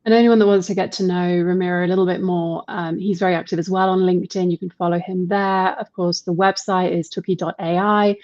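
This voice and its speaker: A woman's voice: mid-range voice, darkish tone, dry recording. Woman host, chatty and upbeat, dull dry webcast sound. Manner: upbeat, chatty, laughs easily. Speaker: host and marketer. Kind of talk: live online interview.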